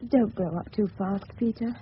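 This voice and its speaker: A woman's voice: mid-range voice, moderate pace, lightly acted. An actress playing a fragile, disillusioned married Englishwoman in a radio drama, speaking falteringly and tenderly. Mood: bitter, tearful. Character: fragile, disillusioned married woman, the boy's neighbour. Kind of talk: 1970s radio play acting. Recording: muffled, faintly hissy old radio broadcast.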